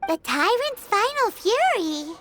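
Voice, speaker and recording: A woman voicing a tiny, excitable, childlike girl fairy companion in a video game, chirpy and exclamatory. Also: very high-pitched, takes it slowly, noisy background, full character voice. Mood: surprised, eager. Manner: chirpy, exclamatory, speaks of herself by name. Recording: loud music over the voice.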